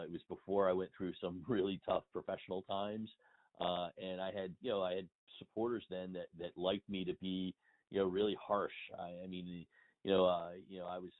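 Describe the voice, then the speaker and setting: A man's voice: sits low, phone-quality sound. A middle-aged man speaking as a guest in a conversational phone interview, wordy and emphatic. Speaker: interview guest, industry consultant and blogger. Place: remote phone call.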